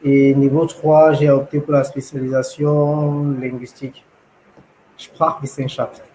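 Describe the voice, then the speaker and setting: A young man from Cameroon, a language school founder, telling his story in an interview, measured and hesitant with many fillers. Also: medium pitch, flat delivery, dark tone, rough recording. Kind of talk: interview, personal account.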